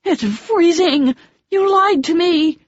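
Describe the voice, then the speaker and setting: A woman reading an audiobook, voicing a quick-tempered young woman who snaps back sharply. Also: animated, no echo. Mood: furious. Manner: shrill when angry, sharp retorts. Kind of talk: audiobook dialogue. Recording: dull lo-fi dry audio.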